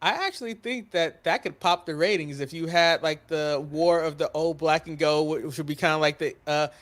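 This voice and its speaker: A man's voice: fairly high pitch, moderate pace, a bit bright. An American man hosting a casual podcast chat, opinionated and emphatic, repeating phrases to drive his point home. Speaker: wrestling podcast host.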